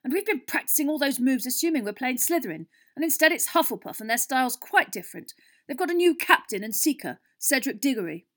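A woman voicing an intense, anxious teenage boy sports captain in an audiobook, speaking urgently and forcefully. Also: medium pitch, normal speed, few pauses, natural voice. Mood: bitter. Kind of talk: audiobook character voice.

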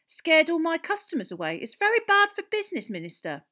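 A woman voicing a chatty, curious female pub landlady, gossipy and expressive, in a storybook. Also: very high-pitched, moderate pace, pitched above the performer's own voice, full character voice. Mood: edgy.

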